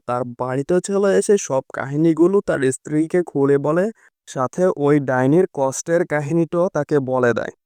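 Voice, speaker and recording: Guy narrating a cartoon story, smooth clean voice, dry sound. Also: fairly high pitch, dry recording. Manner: steady storytelling, addresses listeners as friends.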